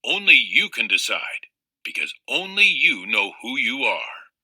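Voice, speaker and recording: A man voicing a patient, reflective storybook father who explains things in a measured, reassuring way. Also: mid-range voice, big pitch swings, pushed and bright, husky, no room sound, full character voice, poor audio. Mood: thoughtful. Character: patient, reflective father advising his young child.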